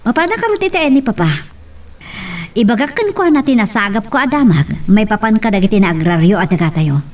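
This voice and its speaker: A woman's voice: medium pitch, lively intonation, a little fast, a little mellow, close to an everyday voice. A woman acting a headstrong, indignant daughter in a radio drama. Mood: indignant, defiant. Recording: distant from the microphone.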